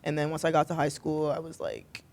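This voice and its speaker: A young adult woman with a deep voice, a podcast guest talking candidly and expressively in casual chat, swearing freely. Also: level tone, brisk, vocal fry, a little mellow.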